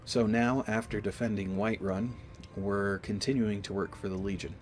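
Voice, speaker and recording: A man with a slight cold giving casual let's-play commentary, explaining things in a deliberate, halting way. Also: fairly low pitch, steady tone, darkish tone. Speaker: gaming video host. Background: game music.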